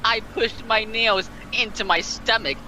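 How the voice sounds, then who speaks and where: Casting: man, very sharp bright tone, dramatic amateur story reader, slight background noise. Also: high-pitched, unhurried, poor audio. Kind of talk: dramatic story reading with joking asides.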